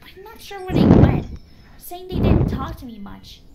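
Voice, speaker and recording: Snappy little cartoon-style filly, extremely high and defensive, heavy noise and birds behind. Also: female voice, sing-song, moderate pace, heavily acted. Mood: grudging. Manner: annoyed, curt, indignant. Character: snappy, smirking young female pony, spoiled and defensive. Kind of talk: character acting in fan fiction audio reading.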